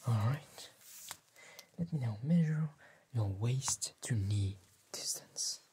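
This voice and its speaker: A young man whispering gently and politely in an ASMR roleplay as a tailor, in a slow, measured, breathy voice close to the mic. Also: mid-range voice, lively intonation, background noise. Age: young adult. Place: small indoor tailor workshop set.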